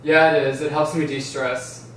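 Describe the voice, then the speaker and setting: A man's voice: on the high side, level tone, moderate pace, natural voice. Man reading as an anxious teenage boy, echoey. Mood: guarded. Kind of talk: script table read. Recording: echoey room, distant mic, faint noise.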